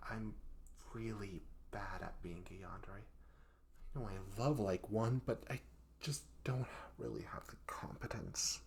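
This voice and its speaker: A man's voice: on the low side, vocal fry, a little hiss or hum. A man voicing a hapless, sweet but clingy young yandere student in a comedic audio roleplay, flustered, stammering and pleading. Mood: sheepish confiding.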